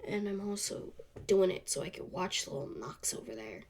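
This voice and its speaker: A young woman hosting a solo podcast, slightly congested from a cold, speaking in a soft, halting way that trails off. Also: medium pitch, sing-song, a little hiss or hum. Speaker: podcast host. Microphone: camera off to the side.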